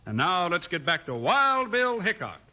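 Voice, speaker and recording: An American man giving a folksy, exuberant 1950s radio commercial pitch aimed at children. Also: very animated, moderate pace, sharp tone, soft background music. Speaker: commercial announcer. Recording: old 1950s radio recording.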